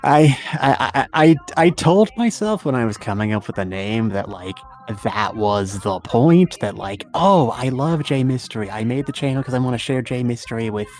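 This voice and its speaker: A man chatting casually as the guest in a livestream interview, wordy and self-deprecating, often halting mid-phrase. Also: medium pitch, expressive, darkish tone.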